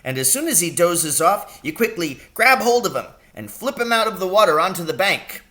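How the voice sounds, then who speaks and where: An elderly country doctor, played by a man reading a storybook, pitched very high and swooping with feeling. He is small, kindly and excitable, relishing his words and prone to exclaiming. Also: normal speed, exaggerated voice. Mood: gleeful, nostalgic.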